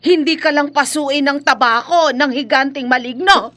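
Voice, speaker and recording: A woman playing a worried, protective mother of a grown son in a horror radio drama, anxious and halting, with a Filipino accent. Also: sits high, a bit bright. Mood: fearful pleading.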